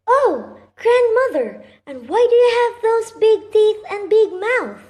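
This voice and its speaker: Woman playing very high-pitched innocent little girl. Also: lively intonation, takes it slowly, a little mellow, a little hiss or hum, exaggerated voice. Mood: wondering. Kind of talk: children's radio drama acting.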